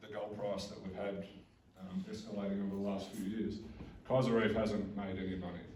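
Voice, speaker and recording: A booming Australian man giving a community talk, with plenty of ums and restarts. His voice is low and mellow, and his pitch stays flat. He is picked up from far off in a roomy, echoing hall with a faint hum behind him. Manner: halting, many fillers and restarts. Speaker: mining company representative. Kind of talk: community information session presentation. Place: public meeting hall. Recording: roomy hall recorded from a distance.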